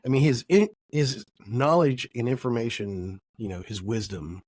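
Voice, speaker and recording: A man's voice: mid-range voice, moderate pace, a little mellow. A middle-aged man with a cold and a nasal voice, talking through a casual, reflective podcast monologue that rambles and corrects itself as he goes. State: has a cold. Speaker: podcast host.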